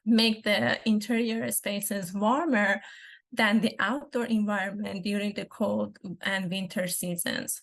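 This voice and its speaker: Iranian woman in her 30s presenting over zoom, earnest and careful, mid pitch. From Iran. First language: Persian. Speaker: guest speaker, architectural designer. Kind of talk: presentation talk over a video call. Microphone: video call.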